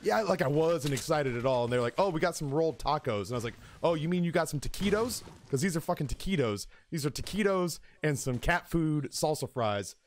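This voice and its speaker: A man streaming games who tells comic stories casually, in an animated, exaggerating and profane way. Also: a little high, brisk, few pauses, a little hiss or hum. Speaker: game streamer. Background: music in the background.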